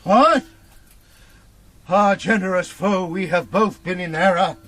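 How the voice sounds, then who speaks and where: An actor playing a proud, imperious knight in a gothic radio drama, declaiming in archaic language. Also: male voice, high-pitched, takes it slowly, a bit bright. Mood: solemn, searching. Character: proud, imperious male knight, quick to anger, solemn.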